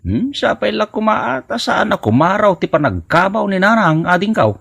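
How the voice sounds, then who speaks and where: A man's voice: sits high, big pitch swings, moderate pace, gravelly, a bit bright, slightly rough, dry recording, studio quality, full character voice. A man playing an earnest, dutiful adult Filipino son with an Ilocano accent in a comic drama. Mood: concerned.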